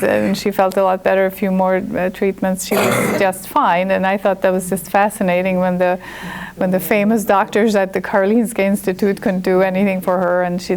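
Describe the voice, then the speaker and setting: A woman's voice: mid-range voice, a little mellow. A woman in her fifties from Sweden with a Swedish accent, a chiropractor telling her personal story to an audience in a candid, chatty way. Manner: candid and chatty, with frequent restarts. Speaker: chiropractor and guest speaker.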